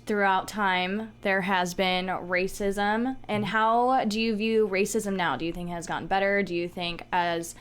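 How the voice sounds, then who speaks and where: A woman's voice: mid-range voice, level tone, a little slow, few pauses, clear, resonant tone. A woman interviewing a guest, asking straightforward questions and answering with brief affirmations.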